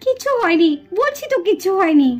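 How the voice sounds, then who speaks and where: Woman doing a very high-pitched lovesick young doll. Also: big pitch swings, fairly quick, a little mellow, soft background music. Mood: anxious, pleading. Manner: pleading, coy, teasing. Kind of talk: puppet doll drama.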